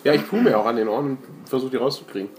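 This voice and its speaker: Fast, lively male gamer in echoey room. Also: medium pitch. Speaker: tabletop game player. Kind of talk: casual table talk. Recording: echoey, noisy room recording.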